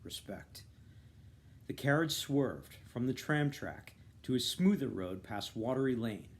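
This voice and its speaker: A man narrates a novel in an expressive literary reading. His voice sits at a medium pitch and moves with very lively, varied intonation. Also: unhurried. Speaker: reader of a novel, volunteer.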